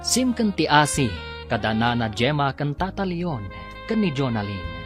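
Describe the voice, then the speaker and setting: Guy announcing a radio soap, big dramatic emphatic delivery, swoopy pitch, music under him. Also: very animated, measured pace. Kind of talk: dramatic scripted radio narration. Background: dramatic theme music.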